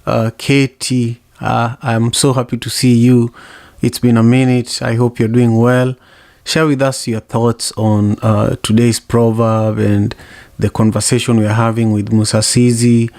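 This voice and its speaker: Flat gravelly male host. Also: mid-range voice, dry recording, clean studio sound. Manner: halting, repeats words, many uhs. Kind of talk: moderating an online discussion.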